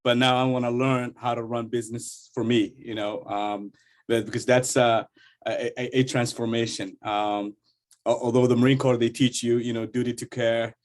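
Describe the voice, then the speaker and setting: A man from Somalia, introducing himself informally and haltingly as a participant in an online workshop. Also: medium pitch, level tone. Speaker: workshop participant, cybersecurity entrepreneur. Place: online video call.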